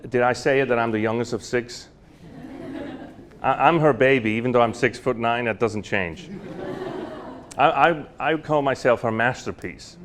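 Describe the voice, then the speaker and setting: A man's voice: medium pitch, moderate pace, long pauses, noisy background. A man, telling personal stories at a university talk, chatty and full of fillers and restarts. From Switzerland. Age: 40s. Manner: warm anecdotal storytelling with frequent fillers and restarts. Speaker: guest speaker. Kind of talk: storytelling lecture. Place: university lecture hall.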